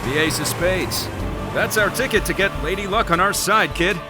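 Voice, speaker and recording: An actor playing a protective soldier in a military sci-fi drama, urgently but playfully reassuring a child. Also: male voice, high-pitched, normal speed, lightly acted. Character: protective soldier rescuing and soothing a frightened child. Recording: loud music over voice.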